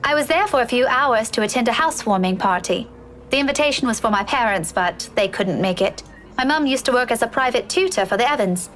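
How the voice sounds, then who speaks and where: A woman's voice: a little high, expressive, a little fast, fairly bright tone, a little hiss or hum, pitched above the performer's own voice. A woman voicing a respectful young woman, an eager former student who speaks hesitantly and earnestly in a visual novel.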